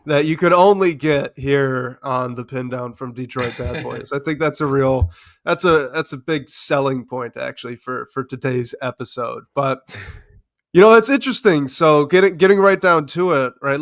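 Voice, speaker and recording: An American man co-hosting a casual sports podcast, a bit hoarse and gravelly from being sick, talking in an easygoing, rambling way. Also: mid-range voice, moderate pace, a bit bright, no room sound, studio quality. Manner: easygoing, rambling, frequent restarts. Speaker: podcast co-host.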